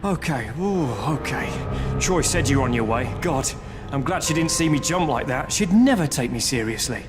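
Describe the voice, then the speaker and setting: An actor playing a nervous, self-deprecating young volunteer in a video game, informal and easily flustered. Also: male voice, medium pitch, expressive, moderate pace, over music, lightly acted. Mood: flustered, sheepish. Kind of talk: video game cutscene acting.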